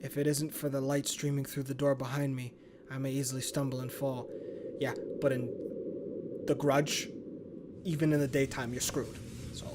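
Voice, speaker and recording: A man plays through a horror visual novel, reading the narration aloud with drama and dropping in casual reactions. His mid-pitched voice sits over faint background noise.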